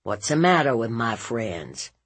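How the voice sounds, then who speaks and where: A woman reader plays a sulky teenage boy in a storybook scene. He is evasive and defensive, with curt answers in a husky, unhurried voice. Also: rumbling low, very animated, heavily acted. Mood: sullen. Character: sullen, evasive seventeen-year-old boy.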